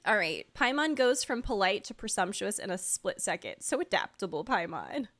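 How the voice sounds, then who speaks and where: A young woman giving casual, slangy reaction commentary as a game streamer, excitable and giggly. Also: mid-range voice, normal speed. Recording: game music behind.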